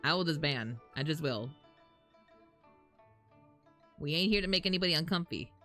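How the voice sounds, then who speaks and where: A woman's voice: low-pitched, animated, moderate pace, pushed and bright, soft background music. A woman live streamer chatting informally and slangily with her audience while reacting to a game. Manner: informal, slangy, talking to chat.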